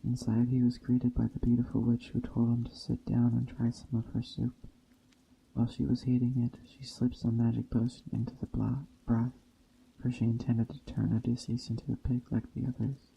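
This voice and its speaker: A man softly reading a story in a hushed, gentle ASMR storytelling style. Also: mid-range voice, completely flat delivery, normal speed, gravelly, a little hiss or hum, low-quality recording. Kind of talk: soft-spoken ASMR reading of a Greek myth tale. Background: soft nature ambience.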